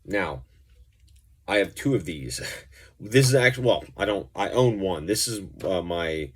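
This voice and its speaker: A man with a low-pitched voice talks through a gear demonstration as a musician would. His delivery is informal and loosely explanatory, wandering from point to point in a conversational way. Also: expressive, measured pace, slightly rough. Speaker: pedal reviewer and musician. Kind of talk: casual gear demonstration talk.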